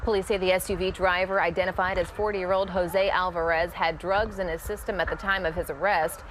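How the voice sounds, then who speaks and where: A woman anchoring a local TV newscast, reading stories in a smooth, even delivery. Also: on the low side, fairly quick, slight background noise. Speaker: news anchor. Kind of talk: scripted news reading.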